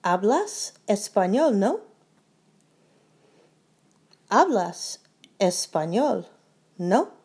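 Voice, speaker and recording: Slow, careful woman drilling phrases. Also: mid-range voice, very animated, darkish tone, breathy edge. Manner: slow, deliberate, each phrase repeated three times. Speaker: language teacher. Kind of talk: slow repeat-after-me language drill.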